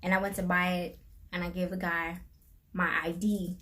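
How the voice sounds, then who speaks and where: A woman's voice: on the low side, flat delivery, normal speed, fairly bright tone. A middle-aged woman co-hosting a casual live stream, chatty and laughing often as she tells stories.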